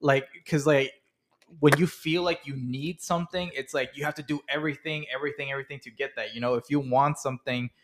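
A man's voice: medium pitch, steady tone, fast talker, slight background noise. A young man co-hosting a podcast who gives relationship advice in a rambling way, full of "like" and "you know.". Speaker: podcast co-host. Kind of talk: casual chat, giving advice.

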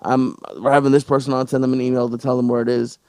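Halting, stammering male podcast host. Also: medium pitch, little pitch movement, mellow, no echo. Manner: halting, many restarts and fillers. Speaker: podcast host and stand-up comic. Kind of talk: casual podcast chat.

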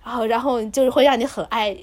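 A woman with a fairly high voice talks casually as a podcast co-host. She feels her way through her thoughts, repeating words and rephrasing as she discusses what she has read. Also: fairly even intonation, fast talker, darkish tone.

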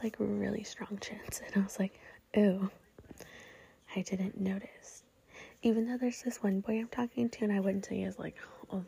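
A woman's voice: mid-range voice, vocal fry, mellow, slightly rough. A young woman hosting her own podcast, talking in a whispery voice in a blunt, profane, rambling way full of fillers. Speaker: podcast host. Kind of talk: casual solo podcast talk.

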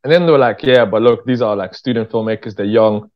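A man's voice: lowish voice, quick pace. Dull-sounding British man chatting casually. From England. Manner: informal, slangy, candid. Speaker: interview guest, writer-director. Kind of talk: casual conversational storytelling. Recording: dull, lo-fi voice recording.

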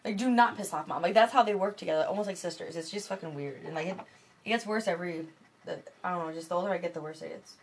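A woman's voice: on the low side, quick pace, slight background noise. A young woman from Michigan in casual late-night chat, rambling and swearing with lots of fillers. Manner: rambling, profane, filler-heavy. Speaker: vlogger. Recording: music playing behind.

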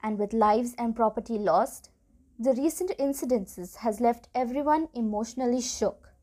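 A woman's voice: fairly high pitch, dark tone. A woman playing a formal radio news presenter, reading a scripted broadcast to listeners.

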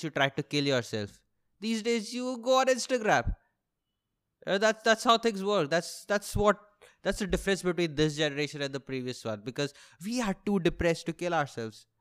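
Animated, high-pitched young Indian comedian. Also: male voice, clean tone. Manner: self-deprecating, sweary, repeats and rephrases himself; complaining-sounding delivery. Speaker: podcast host and stand-up comedian. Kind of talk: casual solo comedy rambling.

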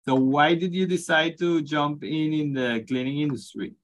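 A man's voice: a little high, normal speed. A man hosting a podcast interview, asking friendly questions with many hesitations and filler sounds. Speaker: podcast host.

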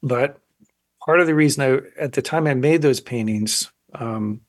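A man in his sixties, an artist interviewed on radio, speaking in a thoughtful, halting way and often pausing to find words. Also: mid-range voice. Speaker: painter, interview guest. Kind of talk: reflective interview talk.

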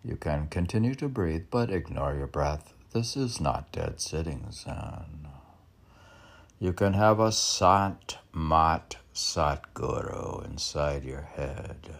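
A man reads poetry aloud casually, rambling into his own commentary and musing haltingly, as if arguing with himself. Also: extremely low pitch, creaky, husky. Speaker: poet reading own poems aloud.

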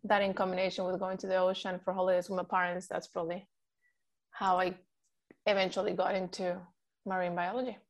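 A woman's voice: fairly low pitch, little pitch movement, brisk. A woman in her forties who grew up in Mexico and speaks Spanish as her first language, chatting as a guest with many fillers and restarts. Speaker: guest, marine biologist. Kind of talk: casual interview answers.

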